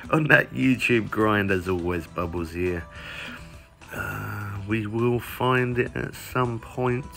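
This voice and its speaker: Croaky, rambling man walking through a game. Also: lowish voice, slow, fairly bright tone, faint music behind. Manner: chatty, rambling, self-correcting. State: croaky from lack of sleep. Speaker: gaming YouTuber. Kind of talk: casual gameplay walkthrough. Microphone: phone recording mic.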